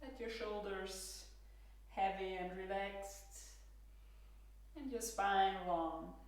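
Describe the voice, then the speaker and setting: A woman with an Austrian accent, a native German speaker, leading a guided yoga class with calm, gentle instructions. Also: mid-range voice, takes it slowly, far from the mic. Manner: calm, gentle cueing with soft laughs. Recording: roomy echo, from across the room.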